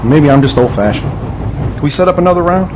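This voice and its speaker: A man's voice: on the high side, animated, quick pace, background noise, natural voice. A man playing an earnest, modest American marksman in an adventure audio drama. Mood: frustrated.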